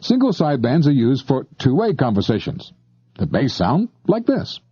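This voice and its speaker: A man's voice: medium pitch, wildly swooping pitch, normal speed, dark tone, slightly rough, no echo. A man reading a scripted instructional narration, explaining things and addressing the listener directly. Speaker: narrator of an instructional shortwave radio tape. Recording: dull lo-fi cassette tape, dry.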